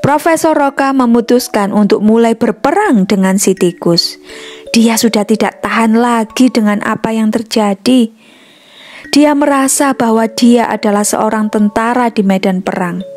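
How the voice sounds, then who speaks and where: A woman's voice: fairly high pitch, moderate pace, a little mellow. A woman reading a scripted children's story as a storyteller. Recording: music behind the voice. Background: music.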